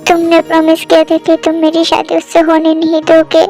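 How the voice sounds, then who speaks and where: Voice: extremely high pitch, monotone, fairly quick, a little mellow, faint music behind. A young performer voicing a desperate young woman who pleads tearfully against her arranged wedding.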